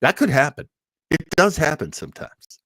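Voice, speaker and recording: A man's voice: on the high side, sing-song, moderate pace, a bit bright, no echo, low-quality recording. A man hosting a casual internet livestream, chatting and announcing things in a rambling, jokey way. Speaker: host of an internet show. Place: small garage office at home.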